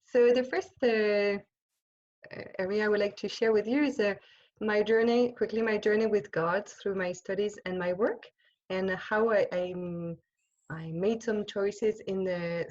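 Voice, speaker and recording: A woman's voice: medium pitch, steady tone, darkish tone. Hesitant French woman on a lo-fi call. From France. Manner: hesitant, searching for words, many pauses and restarts. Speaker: religious sister giving a talk. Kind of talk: informal presentation to an online group. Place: home, on a video call. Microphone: computer microphone on a video call. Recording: lo-fi video call audio.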